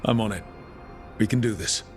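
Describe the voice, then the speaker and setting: A man's voice: fairly low pitch, normal speed, faint music behind, lightly acted. An actor playing an earnest, can-do young male hero in a video game. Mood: eager. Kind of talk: dramatic video game acting.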